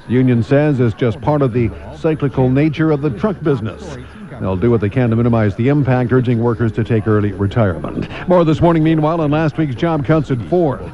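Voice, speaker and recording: A man's voice: mid-range voice, warm tone, dull audio. A man with a Canadian accent anchoring a morning radio newscast in a conversational, punchy style with clipped phrases.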